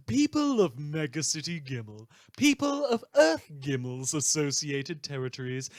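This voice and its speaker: A man playing a sardonic, bitter radio news host in a fan audio drama, with theatrical, drawn-out emphatic phrasing. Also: fairly high pitch, no echo. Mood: grandiose, sardonic. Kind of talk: scripted satirical radio news monologue.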